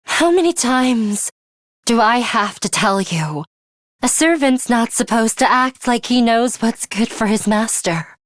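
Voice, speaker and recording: A woman voicing a cool, guarded young female fighter in a video game, with a measured, composed and imperious way of speaking. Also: fairly high pitch, animated, no room sound, studio quality. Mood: irritated, urgent. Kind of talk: English video game voice acting, dramatic anime-style dialogue.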